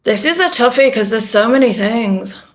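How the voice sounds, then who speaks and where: A middle-aged woman, a PR consultant, talking casually in an interview, animated and emphatic, often acting out her own thoughts. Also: a little high, moderate pace. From England. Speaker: PR consultant guest.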